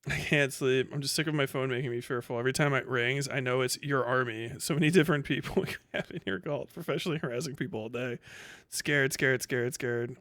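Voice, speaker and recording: A man's voice: mid-range voice, a little fast, fairly bright tone, dry recording. A man hosting a casual livestream, commenting and reading posts aloud in a slangy, sweary, mocking way. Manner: often breaks into laughter. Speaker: livestream host.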